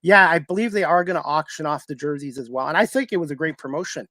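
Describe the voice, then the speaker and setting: A man's voice: on the high side, fast, pushed and bright, no echo, clean studio sound. A man hosting a casual sports chat, earnest and eager to share trivia. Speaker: talk show host, sports broadcaster.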